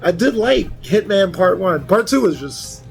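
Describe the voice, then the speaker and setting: A man's voice: sits high, big pitch swings, measured pace, vocal fry, faint music behind. A man chatting casually as a panelist, with a jokey, interjecting way of talking. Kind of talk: casual chat.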